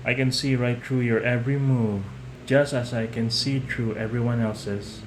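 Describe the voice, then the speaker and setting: Man acting a smooth-talking young mafia heir, roomy echo. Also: medium pitch, steady tone, close to an everyday voice. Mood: suspicious, accusing. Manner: polite, measured, condescending. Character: young mafia heir, falsely naive and polite, coldly manipulative. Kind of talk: scripted audio roleplay addressed to the listener. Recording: roomy echo, some background noise.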